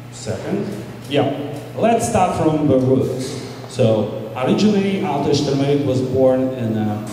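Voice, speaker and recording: A man from Russia with a Russian accent, presenting in slow, halting English as a game producer, speaking into a handheld mic in a large hall. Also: mid-range voice, dark tone, a little hiss or hum. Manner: halting non-native English, slow and deliberate. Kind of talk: presentation to an audience. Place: large hall with flag backdrop. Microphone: handheld mic, recorded by a far camera. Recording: echoey hall recorded from far off.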